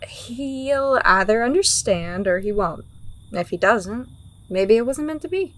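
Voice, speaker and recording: A woman's voice: on the high side, lively intonation, unhurried, a bit bright. A woman playing a world-weary, pragmatic underworld operative in a fantasy audio drama, blunt and wry with a teasing edge. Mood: frank, knowing.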